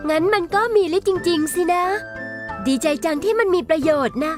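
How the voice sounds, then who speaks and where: A woman voicing a gentle, apologetic young noble girl, shy and hesitant, in a Thai anime dub. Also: very high-pitched, a little fast, music in the background.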